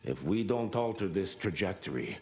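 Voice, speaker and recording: An actor playing a grave, menacing vampire elder, delivering theatrical game monologues in an oratorical manner. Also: male voice, deep voice, sing-song, moderate pace, a little mellow, slight background noise. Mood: grave warning. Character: solemn, menacing male vampire elder addressing his kind. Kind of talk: slow theatrical villain monologue.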